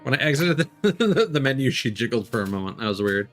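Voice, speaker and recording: A man giving casual live game stream commentary in a rambling, halting, mildly griping way. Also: mid-range voice, big pitch swings, fairly bright tone, faint music behind.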